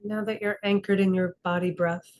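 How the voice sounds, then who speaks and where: Slow, deliberate woman guiding meditation. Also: medium pitch, a little hiss or hum. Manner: slow, deliberate phrasing with frequent pauses; calm, instructive. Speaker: meditation teacher. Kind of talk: guided meditation. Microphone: video call class.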